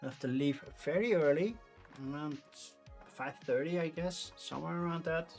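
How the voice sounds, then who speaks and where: A man's voice: on the high side, moderate pace, soft background music. A man with a Dutch accent, a native Dutch speaker, chatting casually and thinking aloud as a livestream host. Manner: rambling, informal, thinking aloud. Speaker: livestream host reviewing board game crowdfunding.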